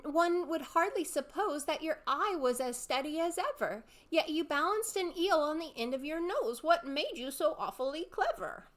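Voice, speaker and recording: A woman's voice: sits high, lively intonation, normal speed, few pauses, voice pushed up. A woman voicing a cheeky, inquisitive young man who recites questioning verse in a storybook reading. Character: cheeky, inquisitive youth questioning his old father.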